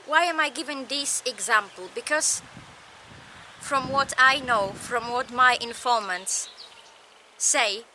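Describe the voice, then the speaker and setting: Slow, bright-voiced woman with a Polish accent. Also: a little high, slight background noise. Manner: slow, measured advice in short phrases. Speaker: lecturer. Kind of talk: recorded lecture.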